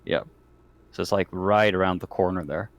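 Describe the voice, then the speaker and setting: Low-voiced man chatting through a tabletop game. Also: steady tone, normal speed. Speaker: player in a tabletop roleplaying game. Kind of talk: casual game discussion.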